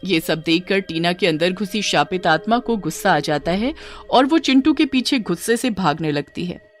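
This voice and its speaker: जीवंत महिला कथावाचिका. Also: female voice, on the low side, animated, moderate pace, soft background music. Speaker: narrator. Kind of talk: scripted narration of children's horror story.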